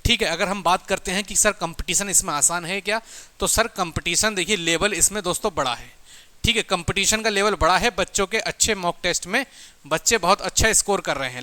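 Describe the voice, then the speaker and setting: A man's voice: sits high, sharp tone. A man giving an informal motivational exam-coaching talk in an emphatic, repetitive, exhorting manner. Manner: emphatic, repetitive, exhorting, addressing listeners as brothers and friends.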